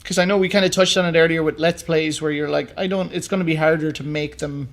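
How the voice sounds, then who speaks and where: A man's voice: fairly high pitch, fairly even intonation, fast talker. A man co-hosting a film discussion show, chatting casually with his fellow host. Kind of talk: casual banter about movies.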